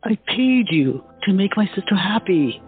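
Imperious, threatening lady, a bit high for a man, lo-fi man plays it. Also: sing-song, moderate pace. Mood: accusing. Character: haughty, demanding young woman. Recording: lo-fi, phone-like, with music.

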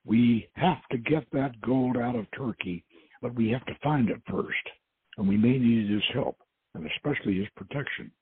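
A man voicing a shrewd, uneasy male archaeologist in an adventure story, matter-of-fact and measured. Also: mid-range voice, breathy edge. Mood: shrewd, wary. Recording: muffled phone-like lo-fi audio.

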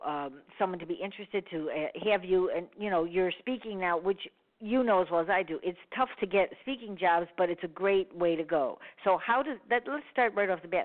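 Chatty woman host on a phone line. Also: mid-range voice. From Chicago. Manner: rambling with many fillers, frequent laughs. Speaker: radio show host. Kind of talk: casual radio interview.

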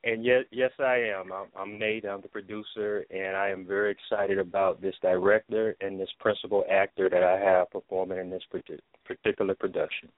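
A man answering interview questions as a producer, halting and earnest, over a tinny phone line. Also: deep voice, level tone, dry recording. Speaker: stage play producer, interview guest.

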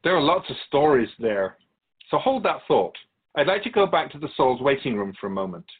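A man plays an otherworldly keeper of souls in a radio drama. He is calm and earnest, explaining things formally and patiently in measured phrases, like a teacher. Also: mid-range voice, slightly rough, narrow, tinny audio. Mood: solemn, explanatory. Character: calm otherworldly male soul keeper, earnest storytelling spirit. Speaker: interviewee.

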